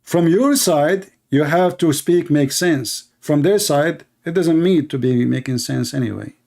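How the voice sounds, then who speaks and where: A man's voice: fairly high pitch, measured pace, gravelly, darkish tone. A man whose first language is Arabic, hosting a live online debate in English with mocking, sarcastic emphasis. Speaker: debate show host.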